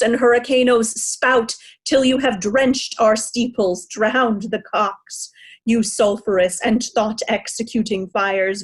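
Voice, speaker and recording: Woman doing a wronged old king, slow n grand, raging shakespeare verse, high-ish voice. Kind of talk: theatrical Shakespearean verse reading.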